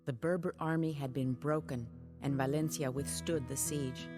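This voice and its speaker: An actress playing a proud, grieving medieval Spanish noblewoman, narrating a game cutscene in a solemn, measured, theatrical way. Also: rumbling low, fairly even intonation. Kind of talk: dramatic scripted cutscene narration. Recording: loud music behind the voice. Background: music.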